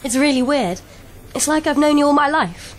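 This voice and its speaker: A woman's voice: sits high, expressive, normal speed, slight background noise. A woman playing a curious, open young woman in a sci-fi audio drama, conversational and questioning. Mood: intrigued.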